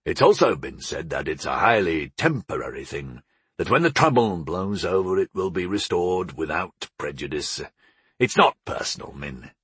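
A man voicing a portly, proud older baker father in an audiobook, gruff and resolute, explaining things without hurry. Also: low-pitched, sing-song, fairly bright tone, dry recording, voice pushed down, full character voice. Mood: grumbling. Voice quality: gruff, grumbling. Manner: unhurried, explaining, homespun wisdom. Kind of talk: audiobook character dialogue.

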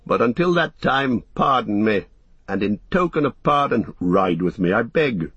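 A man's voice: medium pitch, lively intonation, a little slow, fairly bright tone, husky, no room sound, muffled recording. A man voicing a noble, courteous warrior horseman from a fantasy storybook, in a formal declaiming manner.

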